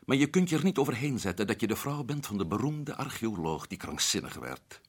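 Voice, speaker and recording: A man's voice: mid-range voice, sing-song, brisk, slightly rough. An actor playing an adventurous man back from Africa, telling his story earnestly and urgently in a radio drama. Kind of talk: 1960s radio drama acting.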